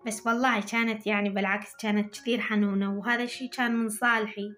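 A woman's voice: medium pitch, flat delivery, fast talker, a bit bright, faint music behind. A young woman with an Iraqi Arabic accent, chatting to her viewers while she cleans her home kitchen, recorded by a camera on the counter. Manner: chatty, talking to viewers while doing housework. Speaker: vlogger. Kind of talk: casual chat while cleaning.

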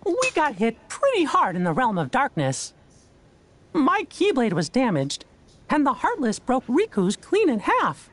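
An actor playing a small, earnest, courteous male cartoon mouse in a video game, in squeaky falsetto. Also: huge pitch swings, unhurried, a bit bright, exaggerated voice. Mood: earnest, polite.

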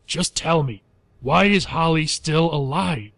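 A man's voice: on the high side, slow pace, vocal fry, fairly bright tone, exaggerated voice. An actor playing a weary, bewildered father who fears for his life, in radio play dialogue. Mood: confused, insistent.

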